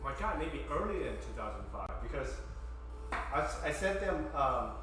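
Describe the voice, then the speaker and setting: A man's voice: fairly high pitch, sing-song, normal speed, fairly bright tone, soft background music. Chatty man in an echoey kitchen. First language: Vietnamese. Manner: chatty, joking asides to chat. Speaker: streamer. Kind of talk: casual live-stream chat while cooking. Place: home kitchen, indoors. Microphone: fixed camera at a distance. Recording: echoey kitchen, mic at a distance.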